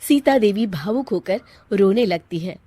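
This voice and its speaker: A woman's voice: mid-range voice, animated, unhurried, dark tone, husky, dry recording. A woman reading a scripted moral tale as the storyteller. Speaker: story narrator.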